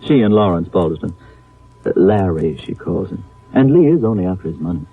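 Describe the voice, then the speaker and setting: A man's voice: deep voice, animated, brisk, creaky, breathy edge, heavily acted. Stuffy, prissy man, tinny old radio. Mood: prissy, disdainful. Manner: pompous, fussy, precise diction. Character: stuffy, prissy, indignant male yacht passenger. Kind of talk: old-time radio drama acting.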